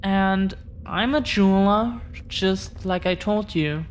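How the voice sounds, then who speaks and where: Woman acting very slow-spoken, earnest dragon-blooded girlfriend. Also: medium pitch, slight background noise, lightly acted. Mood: earnest, solemn. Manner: halting, earnest, deliberate pauses. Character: affectionate, protective dragon-blooded girlfriend confessing her secret. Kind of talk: intimate audio roleplay.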